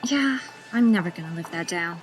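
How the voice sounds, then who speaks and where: A woman's voice: fairly low pitch, animated, fairly quick, faint music behind, natural voice. An actress playing a sarcastic, blunt young female nurse in an audio drama.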